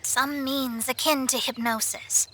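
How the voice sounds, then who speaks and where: A woman's voice: high voice, steady tone, a little slow, noisy background. A woman voicing a proud, scholarly young astrologer in a video game, self-assured, theatrical and precise. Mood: explanatory. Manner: pompous, precise, expository. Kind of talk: English video game voice acting. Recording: studio voice under game music.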